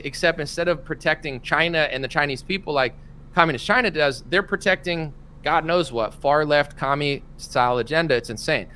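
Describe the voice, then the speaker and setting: A man hosting a casual commentary chat, talking in an animated, opinionated way and acting out what others said. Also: a little high, slight background noise. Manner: animated, opinionated, acts out quotes. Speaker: podcast host.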